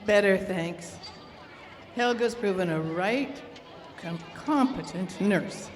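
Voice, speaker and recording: A woman's voice: medium pitch, slow pace, some chatter behind, lightly acted. A woman playing a plain-spoken older widow with folksy, rustic turns of phrase in an old-time radio drama. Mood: grateful. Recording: reverberant hall, clear background noise.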